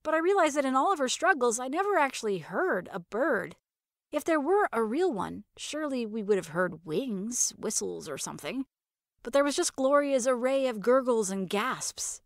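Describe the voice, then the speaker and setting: A woman narrating a first-person story in an expressive, conversational manner. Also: fairly high pitch, normal speed, no echo, studio quality.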